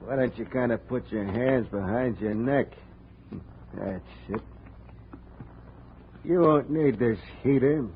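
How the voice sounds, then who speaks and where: A man's voice: medium pitch, takes it slowly, pauses often. An actor playing a menacing gunman in a 1950s radio drama, drawling mock-polite threats. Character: menacing male gunman, slow and mocking. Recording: tinny old radio broadcast, faint hiss.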